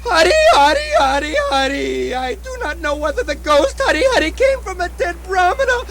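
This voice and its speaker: Woman voicing haunted fisherman, extremely high pitch, lively swings, superstitious, theatrical stammer, puppet theatre. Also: normal speed, over loud music, full character voice. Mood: panicked, tearful. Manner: stammering, constantly breaking into a repeated chant. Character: terrified, ghost-haunted male fisherman, frantic and superstitious. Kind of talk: theatrical puppet-show acting.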